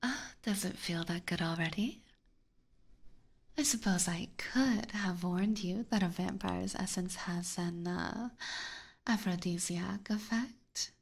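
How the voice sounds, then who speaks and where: A woman voicing a possessive, aristocratic vampire catgirl in a slow, seductive, teasing whisper for an ASMR roleplay, close on a binaural microphone. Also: fairly low pitch, full character voice. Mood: seductive, amused. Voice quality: breathy whisper. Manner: slow, formal, coaxing.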